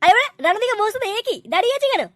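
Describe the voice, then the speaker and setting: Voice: very high voice, animated, racing, fairly bright tone, dry recording, clean studio sound. A performer voicing a brash, foul-mouthed male street gangster in an adult comedy cartoon, cursing and bullying. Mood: boisterous.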